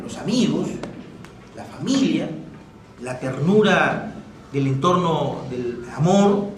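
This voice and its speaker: Echoey, higher-voiced Peruvian man giving a speech. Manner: didactic, rhetorical questions and tag questions; ornate, erudite vocabulary. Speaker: speaker at a book presentation. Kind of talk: extemporaneous literary speech to an audience. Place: echoey indoor room at a live event. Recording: very echoey room, distant mic, faint noise.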